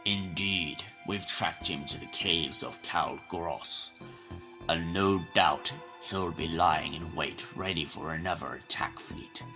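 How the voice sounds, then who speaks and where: An actor playing a stern, authoritative male president at war in an audio drama, speaking formally and gravely. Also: rumbling low, slow pace, over music, exaggerated voice, rough recording. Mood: grave briefing.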